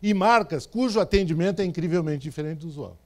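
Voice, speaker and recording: A man's voice: a little high, fast talker, a little mellow. A man with an accent from interior São Paulo state, giving a stage talk to an audience in a conversational way, often checking in with the crowd. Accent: Brazilian Portuguese, interior São Paulo state. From Brazil. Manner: conversational, with frequent "tá certo?" and "né?" check-ins. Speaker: speaker. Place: event stage before a live audience.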